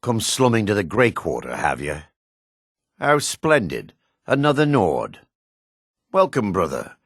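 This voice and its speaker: An actor playing a jaded, sardonic male dark elf in a fantasy video game, with a husky voice. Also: fairly low pitch, huge pitch swings, a little slow, no room sound, clean studio sound, exaggerated voice. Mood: bitter, sardonic. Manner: sardonic, disdainful. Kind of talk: video game character voice acting.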